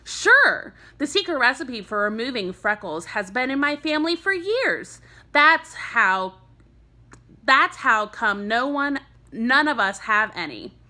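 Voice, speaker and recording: A woman's voice: a little high, very animated, unhurried, pushed and bright, voice pushed up, full character voice. A woman voicing a sly, boastful young schoolgirl with a confident, salesy way of talking.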